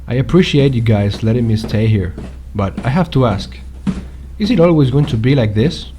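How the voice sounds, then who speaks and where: A man playing a polite, deadpan houseguest who explains monster lore formally in a comedy-horror audio drama. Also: medium pitch, normal speed, slight background noise, lightly acted. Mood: polite, bemused. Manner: formal, matter-of-fact.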